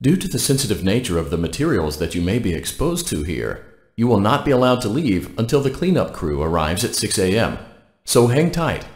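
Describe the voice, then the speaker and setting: A man voicing a polite, deadpan, callous automated facility guide in a video game, giving scripted instructions in a measured, officious way. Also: lowish voice, echoey room. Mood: flat, ominous.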